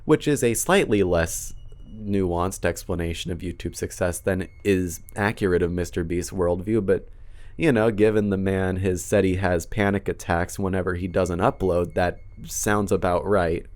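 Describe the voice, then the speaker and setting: Deep voiced guy narrating a video essay, casual n kinda dry sarcastic. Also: fairly even intonation, moderate pace, darkish tone. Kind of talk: scripted commentary.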